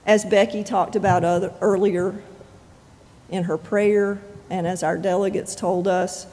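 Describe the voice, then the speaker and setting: A pastor preaching a sermon in measured, deliberate phrases with frequent pauses. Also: mid-range voice, level tone, warm tone. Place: church sanctuary. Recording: long reverb of a church sanctuary.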